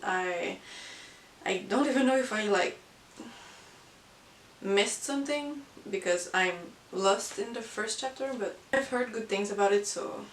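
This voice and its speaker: A woman who is not a native English speaker, chatting casually about books as a vlogger in a halting, hesitant way. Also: mid-range voice. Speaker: book vlogger. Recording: roomy, distant mic with hiss.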